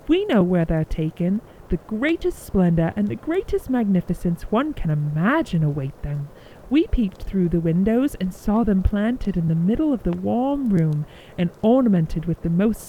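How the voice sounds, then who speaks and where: A woman voicing excited, gossipy little sparrows in a children's storybook, with a knowing chirp. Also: mid-range voice, a little hiss or hum, low-quality recording.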